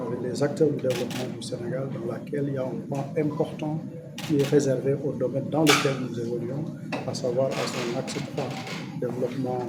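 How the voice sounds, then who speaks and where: Loud ambient noise surrounds a man with a Senegalese accent and a mid-pitched voice. He speaks as an official to the press, unscripted yet formal, explaining things in a didactic way. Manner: formal and didactic, posing and answering his own questions. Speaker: government official.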